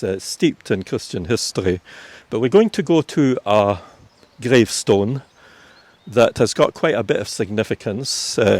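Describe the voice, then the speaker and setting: A Scottish man working as a tour guide, giving an informative, unhurried commentary with frequent fillers while walking outdoors through a village churchyard. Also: lowish voice, no room sound. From Scotland.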